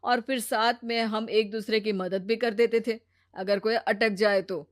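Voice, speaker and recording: A woman voicing a sensible, patient adult man, measured and gently advising, in a cartoon moral story. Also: on the high side, fairly even intonation, dry recording, studio quality, close to an everyday voice.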